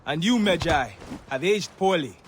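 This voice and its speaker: A man's voice: a little high, expressive, moderate pace, a little hiss or hum, natural voice. An actor playing a proud young man out to avenge his father in a video game, speaking in a declamatory, defiant way. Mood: proud defiance. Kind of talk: dramatic video game acting. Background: music.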